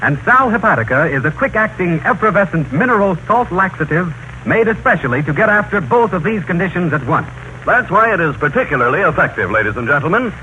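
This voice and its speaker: Male 1930s radio ad announcer, slightly high forceful voice, persuasive, careful phrasing, emphatic, scratchy old recording. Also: sing-song, a little fast, lo-fi sound. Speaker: radio announcer reading a sponsor advert. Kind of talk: 1930s scripted radio advertising.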